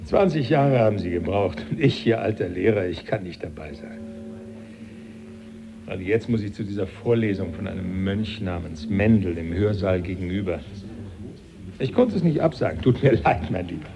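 A man's voice: low voice, expressive, normal speed, creaky, noisy background. An actor playing a genial older male professor, dryly amused and familiar, in a German radio play. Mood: wry, confiding. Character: genial old professor, the hero's former teacher, dryly amused.

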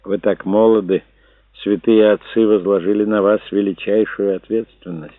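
A man voicing an older, resolute Italian aristocrat with a measured, authoritative manner in an audiobook. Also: lowish voice, steady tone, dry recording, close to an everyday voice. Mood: grave, probing. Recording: dull narrow phone-like sound.

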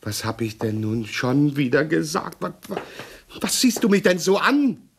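An actor playing a weary, talkative, suspicious husband in a 1960s radio crime play. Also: male voice, a little high, sing-song, a little slow, gravelly, husky, full character voice. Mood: tired, suspicious.

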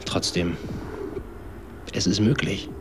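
An actor playing a rueful, down-on-his-luck drifter in a German radio drama, speaking halting, reflective standard German. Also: deep voice, fairly even intonation, noisy background. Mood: doubtful. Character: rueful, indebted man on probation, flirtatious drifter.

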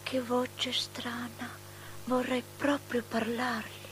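Haughty young princess, high voice, unhurried and languid, coaxing, radio drama, music behind. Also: female voice, level tone, rough recording. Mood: hushed curiosity. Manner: languid, hushed, drawn-out delivery. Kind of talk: stylized theatrical radio drama.

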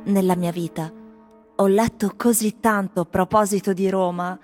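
A woman's voice: mid-range voice, steady tone, normal speed, darkish tone, close to an everyday voice. A woman playing foreign tourists in Rome, reciting interview answers expressively in a theatrical radio piece. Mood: dreamy, earnest. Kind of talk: scripted theatrical monologue in Italian radio drama. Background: faint music.

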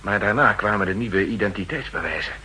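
An actor playing a worried, earnest official seeking help, speaking formally and tensely in a 1960s radio drama. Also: male voice, fairly low pitch, fairly quick, sharp tone. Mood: anxious, earnest. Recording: noisy, humming old radio recording.

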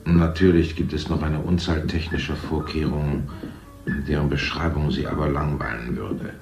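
An actor playing an older man who rules a future city, lecturing in a smooth, condescending way. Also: extremely low pitch, steady tone, moderate pace, echoey room, music in the background. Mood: proud. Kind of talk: 1970s radio play acting.

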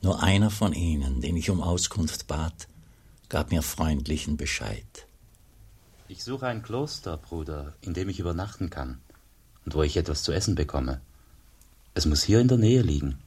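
Deep, husky humble monk. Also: male voice, lively intonation, normal speed, a little mellow, heavily acted. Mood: searching. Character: humble, pious wandering monk, soft-spoken and weary. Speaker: actor, also narrating as the monk. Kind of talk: German radio drama acting.

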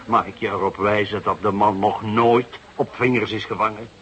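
Low-voiced, seasoned police detective played by an actor. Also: male voice, steady tone, a bit bright, lightly acted. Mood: sceptical, patient. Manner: measured, hesitant, musing. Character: seasoned, sceptical, patient older male police detective. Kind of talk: radio drama acting. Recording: noisy radio drama recording.

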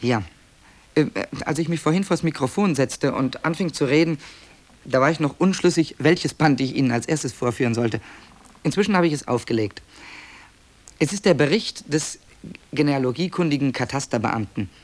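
A man's voice: fairly high pitch, lively intonation, normal speed, darkish tone, close to an everyday voice. A man playing an author-narrator in a radio play, explaining his tapes in a hesitant, informal, apologetic way. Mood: musing, apologetic.

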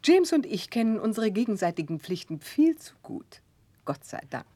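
An actress playing a proud, composed older mother of high standing in a 1960s German radio play, stately and admonishing. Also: sing-song, normal speed, warm tone, no echo. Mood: reproving.

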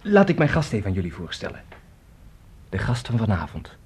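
An actor in a radio play voicing a weary Spanish man who is haunted by memories, speaking in a brooding, theatrical, halting way. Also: lowish voice, huge pitch swings, darkish tone, breathy edge, heavily acted. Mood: lively, hosting. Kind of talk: radio drama acting. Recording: noisy radio drama recording.

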